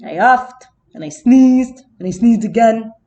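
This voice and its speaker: A woman's voice: on the high side, lively intonation, a little slow, mellow, highs cut off. A woman voicing a self-justifying, slyly innocent male wolf who tells his side of a children's story with chatty, dramatic indignation. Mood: indignant. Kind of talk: first-person children's storybook narration.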